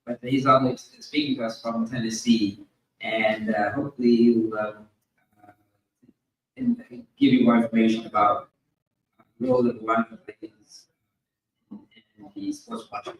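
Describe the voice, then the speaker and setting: Male moderator, informal and halting, medium pitch, very echoey room with background noise. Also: animated. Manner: hesitant, searching for words. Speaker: panel moderator. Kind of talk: informal live introduction. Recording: noisy echoey room, distant mic.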